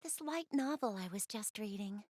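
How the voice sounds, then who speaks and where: A woman playing a gentle, shy, bookish young girl in video game dialogue, soft-spoken and earnest. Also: mid-range voice, animated, normal speed, no room sound, studio quality, natural voice. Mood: absorbed, apologetic. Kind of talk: video game cutscene dialogue, addressing the listener.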